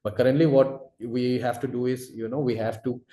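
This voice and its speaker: A man answering webinar questions as a product expert, explaining in a halting, wordy way full of verbal fillers. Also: mid-range voice, fairly even intonation, dark tone. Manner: halting, wordy, repeats filler phrases "you know". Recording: roomy, echoey webinar audio.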